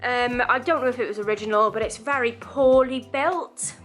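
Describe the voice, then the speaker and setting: A woman vlogging casually about renovating her living room, chatty and rambling, her voice croaky from dust. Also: a little high, normal speed, fairly bright tone, soft background music. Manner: chatty, rambling, laughs often. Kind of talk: casual chatty vlog to camera.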